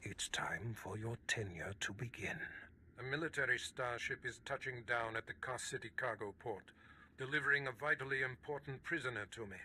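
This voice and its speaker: A man playing a commanding, scheming Sith lord in a video game, with formal, measured, imperious delivery. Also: medium pitch, sharp tone, a little hiss or hum. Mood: cold, commanding. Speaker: voice actor in a game. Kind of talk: cinematic video game acting.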